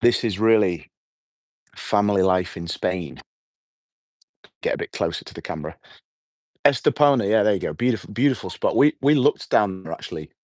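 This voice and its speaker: A middle-aged man with a hoarse voice, hosting a relaxed, rambling evening livestream outdoors and chatting with his viewers. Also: lowish voice, moderate pace, pauses often, no echo, clean studio sound. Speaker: livestream host. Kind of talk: casual evening livestream chat. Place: outdoors at night.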